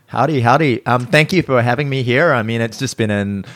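A man's voice: medium pitch, a little fast, fairly bright tone, dry recording, clean studio sound. A man in his thirties from Singapore, a guest talking casually in a hotel room, rambling and correcting himself, laughing now and then. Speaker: guest, personal trainer. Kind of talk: casual interview talk.

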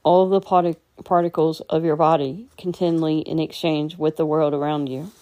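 A woman leading a guided meditation as a teacher, speaking in a gentle, unhurried, instructing way. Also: sits low, flat delivery.

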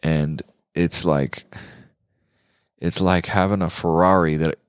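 A man's voice: sits low, steady tone, slow, clean tone, no echo, clean studio sound. A man chatting casually as a show co-host, talking in an emphatic, slangy way like a guitar enthusiast. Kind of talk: casual chat.

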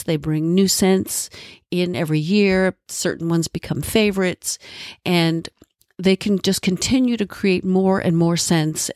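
A woman's voice: on the low side, darkish tone, no echo, clean studio sound. A woman podcast host with a congested, nasal voice from a cold, giving a friendly solo explanation with asides. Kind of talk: solo educational podcast talk.

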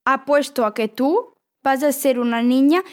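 Know-it-all little boy, high-pitched, cheeky, children's radio theatre. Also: measured pace. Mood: teasing, smug.

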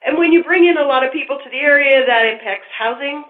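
Woman, slightly high voice, explanatory interview guest, echoing room, thin telephone sound. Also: fast. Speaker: park deputy superintendent, interview guest. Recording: echoey, tinny phone line.

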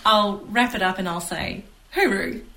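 A woman's voice: medium pitch, measured pace, distant mic. A woman with an Australian accent chatting casually as a podcast co-host. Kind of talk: casual chat. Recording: roomy with faint noise.

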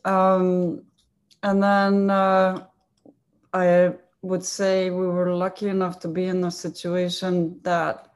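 A woman from Iceland with an Icelandic accent, a design agency co-founder telling her story in an interview, relaxed and full of fillers and self-corrections. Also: on the low side, slow pace. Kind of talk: conversational interview answers.

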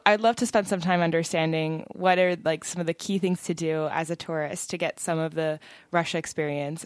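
Curious woman podcast host, lowish voice. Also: no room sound. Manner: curious, asks questions, laughs often. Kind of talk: casual podcast interview.

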